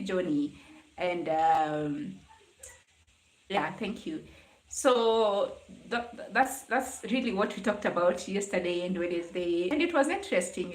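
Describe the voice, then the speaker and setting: Kenyan woman livestreaming in an echoey room. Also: fairly low pitch. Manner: conversational, greeting and answering commenters. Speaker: livestream host. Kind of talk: casual live chat with viewers. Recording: roomy, echoing live stream sound.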